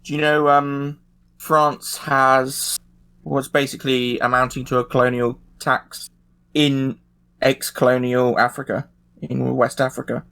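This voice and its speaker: Creaky gravelly guy on a casual podcast, kinda halting as he explains. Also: medium pitch, little pitch movement, fairly bright tone. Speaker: discussion panelist.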